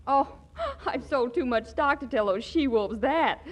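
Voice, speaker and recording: An actress playing a brash, wisecracking young press agent in 1940s radio comedy. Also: female voice, high-pitched, expressive, a little slow. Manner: brash, wisecracking, laughs easily.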